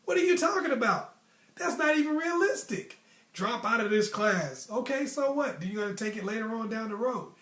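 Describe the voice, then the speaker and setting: High-pitched American man telling stories, echoey room. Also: normal speed. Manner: animated, emphatic, slangy. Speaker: storytelling host. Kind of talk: casual personal storytelling with motivational advice. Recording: roomy, echoey sound.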